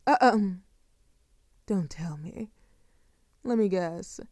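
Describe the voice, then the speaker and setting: An actress performs a sadistic female vampire in an audio roleplay, seductive and possessive. Her voice is mellow and close, recorded very dry, with soft murmurs that dip toward a whisper. She takes her time, drawing out phrases with silky, theatrical condescension. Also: lowish voice, slow, no echo. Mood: mocking, silky. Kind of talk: intimate scripted audio roleplay acting.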